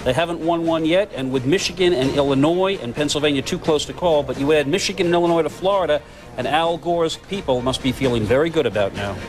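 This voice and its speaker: A man's voice: a little high, fast. A man giving live election commentary as a television political correspondent.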